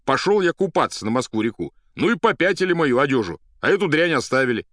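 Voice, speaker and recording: Man acting an agitated, loud, indignant young poet. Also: a little high, very animated, quick pace, dry recording, studio quality, voice pushed up. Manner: shouting, colloquial, vehement. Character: agitated, indignant, half-crazed young male poet in underwear.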